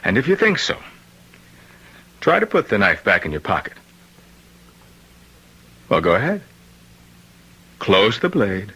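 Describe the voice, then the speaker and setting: An actor playing a gravely ill, solemn and insistent man who believes a killer's spirit possesses him, in old-time radio drama style. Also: fairly low pitch, huge pitch swings, a little slow, long pauses, bright, forceful tone, exaggerated voice, poor audio. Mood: commanding, insistent.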